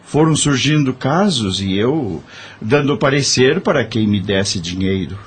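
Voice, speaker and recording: A man's voice: medium pitch, expressive, unhurried, husky. An actor playing a once-proud corrupt judge in an audio drama, confessing his misdeeds with remorse in a dramatic, sighing manner. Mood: remorseful, anguished.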